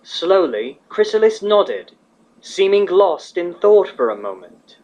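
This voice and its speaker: A woman reading fan fiction as the narrator, in an expressive scripted storytelling style. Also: fairly low pitch, slow. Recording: slightly dull, muffled audio.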